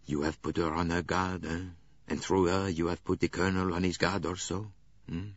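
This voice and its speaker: A worried middle-aged railway director speaks in a quiet, cautious, questioning way, as a man voices him. The voice is low and husky. The recording is dry and slightly muffled. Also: level tone, normal speed, dry recording.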